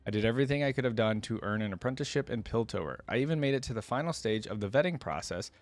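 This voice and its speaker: A man's voice: mid-range voice, lively intonation, racing, lightly acted. A man reading a first-person story as a downcast, bitter young male inventor from a smoggy slum, in a plain, resigned manner.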